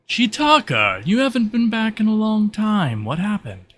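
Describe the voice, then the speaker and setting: A man's voice: sits high, huge pitch swings, normal speed, a bit bright, voice pushed up, full character voice. A man voicing a flamboyant, wryly friendly demon spirit in a tabletop game, musing theatrically and hesitantly, often repeating words. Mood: playfully wounded.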